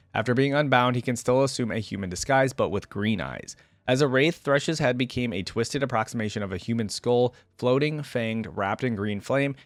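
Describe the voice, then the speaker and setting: A dry, close-sounding recording of a man with a mid-range voice. He hosts a reaction video, reading text aloud and adding casual commentary. His delivery is conversational, as if thinking out loud. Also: medium pitch, dry recording.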